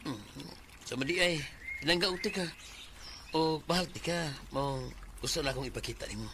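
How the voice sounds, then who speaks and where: A man's voice: on the high side, animated, moderate pace, vocal fry. A man playing an ardent, domineering young suitor in a Bisaya radio drama, with a Cebuano Filipino accent. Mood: ardent, wooing. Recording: noisy radio drama recording.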